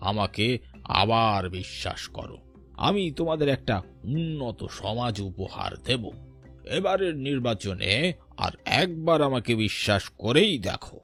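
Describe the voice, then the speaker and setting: A man playing an earnest village councillor in a cartoon, speaking in a formal, measured and persuasive way. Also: medium pitch, fairly bright tone. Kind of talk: dramatized cartoon dialogue.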